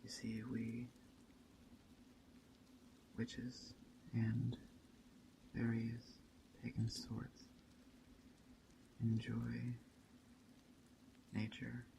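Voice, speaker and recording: A man playing a calm, hospitable witch of a woodland coven in a gentle, unhurried ASMR roleplay that drifts into soft whispers. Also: medium pitch, gravelly, noisy background, exaggerated voice. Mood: hushed, reflective. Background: rain and forest ambience.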